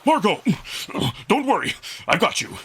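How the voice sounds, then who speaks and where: Protective male beast-alien man acting, visual novel: big, earnest, concerned, lively swings in pitch, bright tone. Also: measured pace, slight background noise, heavily acted. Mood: worried, protective.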